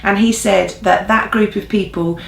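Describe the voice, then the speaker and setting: A woman's voice: mid-range voice, fairly even intonation, normal speed, gravelly, slight background noise. A woman co-hosting an informal church news update in an earnest, encouraging way. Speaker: church newsreel co-host. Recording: echoey room sound.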